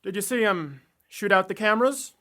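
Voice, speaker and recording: A woman voicing a self-assured male detective who talks in a dry, deliberate way. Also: medium pitch, animated, background noise, natural voice. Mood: measured. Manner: dry, deliberate, ponders aloud. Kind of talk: amateur 1930s-style radio drama parody.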